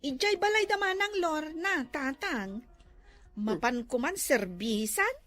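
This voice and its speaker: A woman's voice: high-pitched, animated, measured pace, a bit bright, no room sound. A woman with an Ilocano accent acting a vain, giggly younger village beautician in a comedy skit. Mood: coy, confident.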